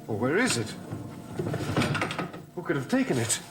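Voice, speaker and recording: A man's voice: medium pitch, huge pitch swings, moderate pace, heavy background noise. An actor playing an agitated, demanding man of the house, abrupt and urgent, in gothic soap opera style.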